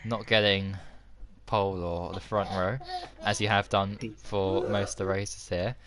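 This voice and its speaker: Excitable racing stream host. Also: low voice, a little slow, a crowd in the background. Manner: enthusiastic, filler-heavy. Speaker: host commentator. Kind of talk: sim racing livestream commentary.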